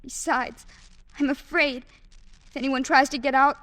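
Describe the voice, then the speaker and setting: A woman's voice: high voice, steady tone, measured pace, a bit bright, dry recording. An actress playing a timid, naive young woman held captive as a maid, earnest and girlish, in old-time radio drama style. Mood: timid, fearful.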